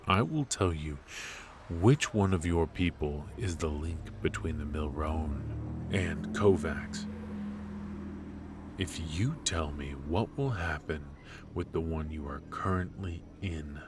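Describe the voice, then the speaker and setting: Casting: male actor as shrewd, ruthless mafia boss for noir ASMR roleplay; extremely low voice, slow delivery, teasing and smug. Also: noisy background, full character voice. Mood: coolly bargaining. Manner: unhurried, smug, probing, with pauses and musing hums. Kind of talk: intimate ASMR roleplay, noir audio drama.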